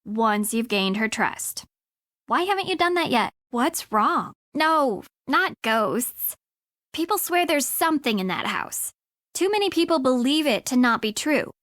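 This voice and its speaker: Snarky high-pitched young frenemy. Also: female voice, big pitch swings, measured pace, a bit bright, no room sound, clean studio sound, heavily acted. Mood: skeptical, warning. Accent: American. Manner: snarky, emphatic. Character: young woman, blunt, sardonic frenemy of the detective. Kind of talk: video game voice acting.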